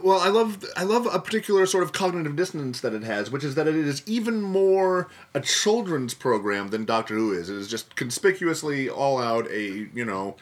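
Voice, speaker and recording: A man's voice: a little high, big pitch swings, normal speed, few pauses. A man chatting casually as a podcast guest, with a wry, mock-dramatic way of talking.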